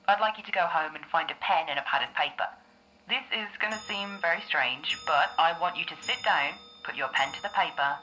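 A woman acting as a calm female therapist in an audio drama. Her voice is low for a woman and clearly bright, and she explains things in a measured, reassuring way. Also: low-quality recording. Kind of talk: scripted audio drama dialogue.

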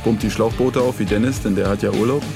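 A man's voice: on the low side, fast talker, gravelly. Teasing male podcast host over music. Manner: playfully teasing. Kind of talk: casual chat, signing off.

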